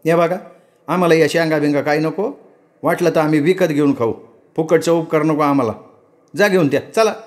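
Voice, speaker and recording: A man's voice: sits high, fairly quick, darkish tone, voice pushed up, natural voice. A man voicing a proud, irritable young village wife who answers in curt, scolding retorts. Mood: sharp, irritated.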